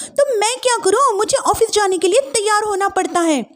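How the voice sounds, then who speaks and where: Woman doing a young working bahu in a family story, really high voice, whiny and defiant, swoops around. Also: lively intonation, normal speed. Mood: annoyed. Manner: plaintive, argumentative. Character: young working daughter-in-law, indignant and defiant.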